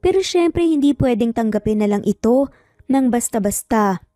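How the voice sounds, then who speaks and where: Filipino female narrator for dramatic story, gentle dark timbre, very dry recording. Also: fairly high pitch, lively intonation, moderate pace, dry recording, soft background music.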